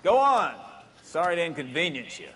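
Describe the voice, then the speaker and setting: An actor playing a brusque, commanding police captain barking orders in a 1940s crime video game. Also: male voice, fairly high pitch, sing-song, moderate pace, pushed and bright. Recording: clearly noisy background with clicks.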